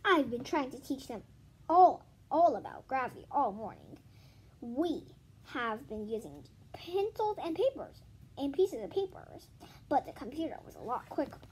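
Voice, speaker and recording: A female reader voicing a brisk, fussy, cheerfully oblivious woman schoolteacher with a theatrical singsong delivery. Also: high voice, sing-song, darkish tone, breathy edge, full character voice, low-quality recording. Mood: pleased.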